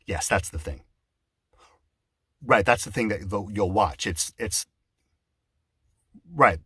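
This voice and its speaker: Husky low-voiced man, halting and digressive. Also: animated, pushed and bright, no echo, clean studio sound. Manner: halting and digressive, stopping to answer an unheard listener. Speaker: theatre director, adapter and announcer. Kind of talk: one-sided mock conversation promoting an audio drama event.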